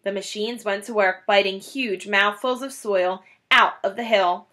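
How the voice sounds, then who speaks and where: A woman in her thirties reading a children's book aloud in an animated, dramatic way. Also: medium pitch, measured pace. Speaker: children's story reader. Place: small indoor room, classroom-like decor.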